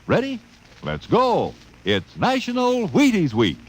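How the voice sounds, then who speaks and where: Vintage radio ad man: slightly high voice, hugely varied intonation, folksy hard sell. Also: wildly swooping pitch, unhurried, very noisy. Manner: folksy, exuberant salesmanship. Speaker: commercial announcer. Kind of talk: 1950s radio advertising pitch.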